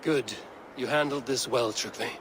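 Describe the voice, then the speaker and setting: A man's voice: medium pitch, measured pace, lightly acted. A man acting a steadfast Viking warrior and counsellor in a video game cutscene, grave, terse and commanding. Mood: grave, composed. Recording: clearly noisy background.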